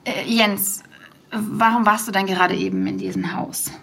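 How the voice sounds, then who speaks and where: A curious, gravelly-voiced investigator. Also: female voice, medium pitch, big pitch swings, normal speed, a little hiss or hum. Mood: probing. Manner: probing, gently coaxing, sometimes whispering asides. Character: curious young woman searching for a lost tape. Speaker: podcaster interviewing a witness. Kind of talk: audio drama acting.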